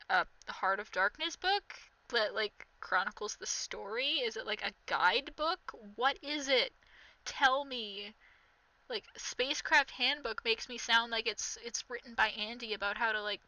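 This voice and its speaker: Sarcastic, bright-voiced young woman. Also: fairly high pitch, no room sound, rough recording. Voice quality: nasal. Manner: mocking, exasperated, reads aloud then jabs. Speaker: commentary vlogger. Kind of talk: sarcastic reaction commentary.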